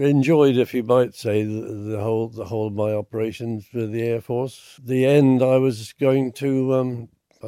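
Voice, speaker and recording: A hoarse man in his nineties, a war veteran, reminiscing in an interview with halting, unhurried speech. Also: medium pitch, dark tone, dry recording, studio quality. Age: 90s. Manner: halting, unhurried, restarts often.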